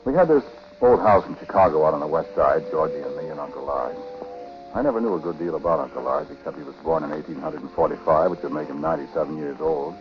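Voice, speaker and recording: An American actor playing a chatty, world-weary husband who confides in the listener in slangy, folksy talk, in 1940s radio drama style. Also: male voice, a bass voice, quick pace, music in the background. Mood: reminiscing. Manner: colloquial, folksy, slangy, intimate direct address. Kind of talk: 1940s radio drama acting, confiding first-person narration. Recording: dull, hissy 1940s radio recording.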